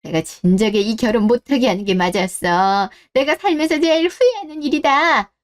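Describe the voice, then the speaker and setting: A woman's voice: a little high, expressive, moderate pace, lightly acted. A woman playing a scornful, possessive middle-aged mother-in-law in a scripted radio drama, scolding and accusing. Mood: furious, scornful.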